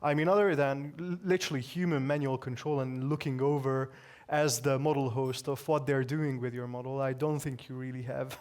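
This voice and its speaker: A man's voice: mid-range voice, steady tone, normal speed, a little mellow. Man thinking aloud on stage. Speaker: conference speaker. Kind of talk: tech talk Q&A answers.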